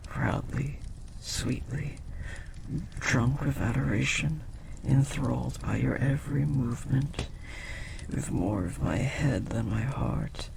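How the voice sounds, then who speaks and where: A powerful demon, acted by a man, speaks slowly in a gravelly, creaking voice over clearly noisy ambience. He is possessive and devoted, with intense, formal phrasing and dramatic pauses, as in an intimate audio drama. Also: mid-range voice. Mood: tender, yearning. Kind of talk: intimate scripted audio roleplay.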